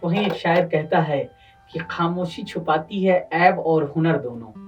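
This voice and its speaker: Guy w UP reciting couplets like a mushaira host, declamatory, bit far from mic, soft guitar behind. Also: on the high side, a little slow, muffled recording. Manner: declamatory, repeats phrases for effect. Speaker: poet and host of poetry gathering. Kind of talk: Urdu-Hindi couplet recitation and formal introductions. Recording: distant microphone, music underneath.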